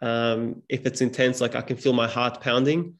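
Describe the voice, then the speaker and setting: Male podcast guest, Serbian first language, gravelly and even-toned, thoughtful and halting. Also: medium pitch, muffled recording. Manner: reflective, hesitant with fillers. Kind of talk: casual conversation.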